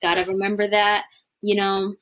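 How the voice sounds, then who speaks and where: A young woman talks on a video call in a casual, chatty way. She piles up fillers and restarts and laughs easily. Her voice sits at a medium pitch. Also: completely flat delivery, moderate pace, fairly bright tone, highs cut off, slight background noise. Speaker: language coach, guest. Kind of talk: casual interview chat. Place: home room with bookshelves.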